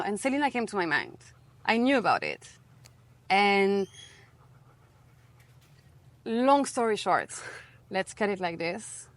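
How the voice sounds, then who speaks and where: A woman in her thirties with a French accent, a guest in a relaxed interview, talking casually and full of fillers. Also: mid-range voice, dry recording. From France. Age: 30s. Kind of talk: casual conversation.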